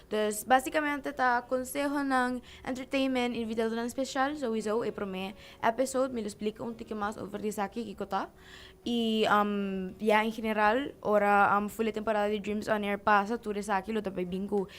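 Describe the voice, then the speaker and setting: A woman's voice: medium pitch, steady tone, moderate pace. A teenage girl from Aruba talking eagerly about her new youth radio show, switching between languages as she goes. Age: teens. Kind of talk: radio interview talk.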